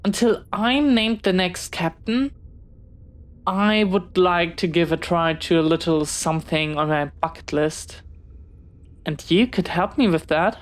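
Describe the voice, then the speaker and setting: Woman acting as slow-paced, bookish young pirate. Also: lowish voice, natural voice. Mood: excited, hopeful. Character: young pirate captain's child, bookish, eager, friendly. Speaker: audio roleplay performer. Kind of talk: one-sided audio roleplay acting.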